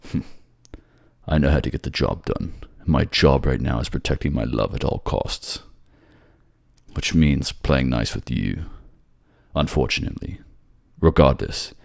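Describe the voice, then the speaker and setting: A man voices a brooding warlord king from a fantasy audio drama. His voice is extremely low with a creaky, gravelly edge. He speaks with curt, proud authority. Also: unhurried, full character voice. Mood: grim, commanding. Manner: brooding, curt, commanding, disdainful. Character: gruff, menacing, scornful warlord king, fiercely protective lover.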